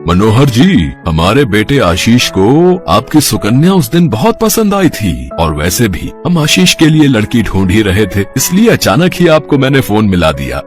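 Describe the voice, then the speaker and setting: A man voicing a gracious, wealthy father of the groom in a cartoon, warm and courteous. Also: mid-range voice, huge pitch swings, unhurried. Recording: music playing behind.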